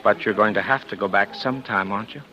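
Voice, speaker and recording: A man's voice: lowish voice, quick pace, lightly acted. An actor playing a guarded, well-off bachelor wooing a woman in a 1940s radio drama, casual and evasive. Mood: hurt, suspicious. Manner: casual, evasive, courting endearments. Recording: dull vintage 1940s radio recording.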